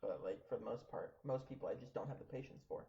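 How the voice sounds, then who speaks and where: A man's voice: mid-range voice, quick pace, creaky, mellow. A man in his thirties in casual chat, blunt, joking and swearing freely. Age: 30s. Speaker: wrestler, podcast co-host. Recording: dull lo-fi sound.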